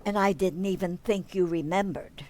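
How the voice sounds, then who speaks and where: A woman's voice: fairly low pitch, moderate pace, darkish tone, natural voice. A woman acting a fond, sensible, patient long-married wife in an old-time radio comedy. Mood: fond, touched. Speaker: amateur actor.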